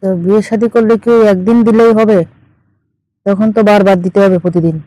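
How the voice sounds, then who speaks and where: A woman's voice: mid-range voice, steady tone, quick pace, mellow, no echo, close to an everyday voice. A woman with a Bangladeshi accent playing a teasing, demanding older aunt in an improvised phone-call drama. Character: teasing, demanding older aunt who owns a fish farm.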